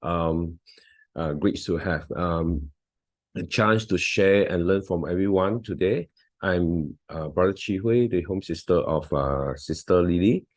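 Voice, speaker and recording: A man's voice: low voice, a little slow, no room sound. A man with a Malaysian English accent, not a native English speaker, giving a hesitant volunteer talk with many fillers and restarts over a video call.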